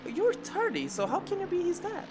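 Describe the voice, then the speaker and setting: A playful co-commentator banters through a sim race broadcast, laughing often and swinging the voice widely up and down. A steady, clearly audible noise sits under the speech. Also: extremely high pitch, a little fast. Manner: playful, laughs often, lively swooping exclamations. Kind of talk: casual live race commentary.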